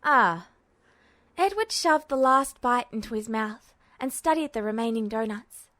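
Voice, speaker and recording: A woman with a fairly high voice narrating a story in a steady, storytelling manner. The recording is close and dry with no room sound. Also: normal speed, no room sound. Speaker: podfic reader. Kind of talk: scripted fiction narration.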